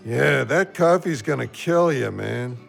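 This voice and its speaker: Slow-talking, creaky, hapless sidekick. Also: male voice, medium pitch, expressive, faint music behind. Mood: slow, mild. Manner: slangy, slow, guileless. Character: big, dim, soft-hearted man, whiny hapless sidekick. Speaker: audio play actor. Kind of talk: gritty contemporary audio drama dialogue.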